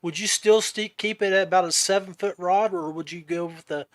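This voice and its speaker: A man's voice: high-pitched, fairly even intonation, a little fast. A man hosting a casual interview podcast, talking in an informal, folksy way. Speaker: podcast host.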